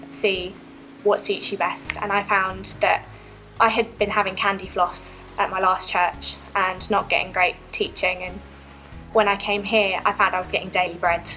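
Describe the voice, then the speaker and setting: A young woman giving a personal baptism testimony, earnest and reflective, with frequent pauses and ums. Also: mid-range voice, pushed and bright, poor audio.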